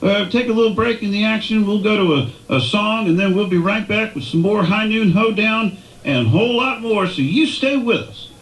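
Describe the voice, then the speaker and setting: A man's voice: high-pitched, normal speed. A man hosting a radio show in a folksy announcing style, repeating phrases for emphasis. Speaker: radio host. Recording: echoey room.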